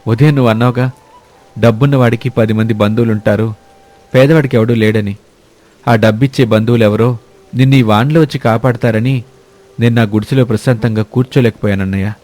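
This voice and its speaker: A man acts out a poor, selfless younger brother from a village cartoon tale. The voice is medium in pitch, earnest and forceful. He speaks in a rustic, heartfelt way. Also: fairly even intonation, a little fast, close to an everyday voice. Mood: emotional, earnest. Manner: forceful, emotional, rustic colloquial speech. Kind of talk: dramatic moral-story cartoon voice acting.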